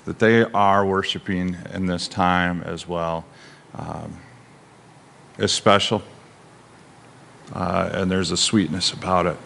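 Slow, gravelly middle-aged man praying. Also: deep voice, fairly even intonation, a bit bright, a little hiss or hum. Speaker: prayer leader at a church service. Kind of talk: spontaneous prayer. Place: church sanctuary, indoors.

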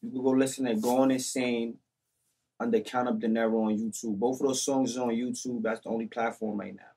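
A man's voice: mid-range voice, fairly even intonation, a little fast, dark tone, breathy edge. A young man chatting casually as a podcast guest, laid-back and repeating short phrases, on a studio microphone. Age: young adult. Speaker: podcast guest, music artist. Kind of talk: casual chat. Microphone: boom-arm studio microphone with headset.

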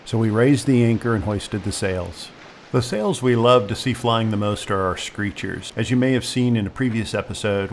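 A middle-aged man hosting a sailing vlog, narrating a calm, technical voice-over that explains boat gear step by step. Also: on the low side.

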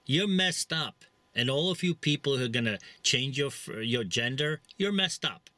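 A man's voice: mid-range voice, expressive, normal speed, sharp tone, no echo. A middle-aged man with a Czech accent, talking to camera from inside a parked vehicle in a blunt, rambling, preachy way with many fillers. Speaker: vlogger. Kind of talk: casual monologue to camera. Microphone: camera mounted close in front.

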